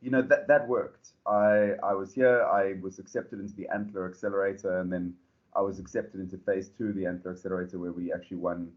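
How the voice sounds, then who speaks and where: South African man, founder being interviewed, lowish voice, rambling delivery, dull lo-fi sound. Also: a little fast. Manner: relaxed, rambling with many fillers and restarts. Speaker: startup founder and CEO. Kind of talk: podcast interview.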